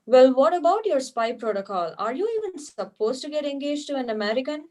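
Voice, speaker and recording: Woman playing a wry, higher-voiced fiancée. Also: expressive, brisk, a little mellow. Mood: wary. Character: wry, guarded young woman engaged to a spy. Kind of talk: scripted table read.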